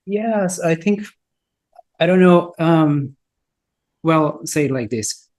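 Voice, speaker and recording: Guy, soft warm voice, kinda halting, thinks out loud. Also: on the high side, animated. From Brazil. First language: Brazilian Portuguese. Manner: searching for words, many restarts, thoughtful. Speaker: guest, software entrepreneur. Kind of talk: casual interview answers.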